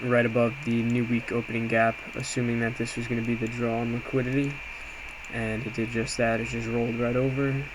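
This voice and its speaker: A man's voice: mid-range voice, fairly quick. A man giving an informal, hesitant, self-reflective trade recap as an amateur day trader, talking casually as he walks through a screen. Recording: clear steady background noise.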